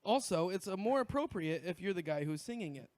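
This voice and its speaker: High-voiced man chatting on a podcast. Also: animated, fairly quick, dry recording. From US Northwest. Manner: loose, rambling, many fillers and restarts. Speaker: podcast co-host. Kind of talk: casual podcast chat.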